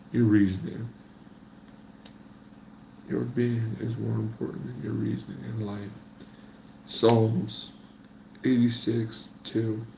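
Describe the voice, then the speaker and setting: Man, gravelly mid-pitched voice, folksy motivational faith vlogger, echoey room. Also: dark tone, slight background noise. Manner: preachy, repetitive, folksy. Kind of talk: casual motivational talk to camera.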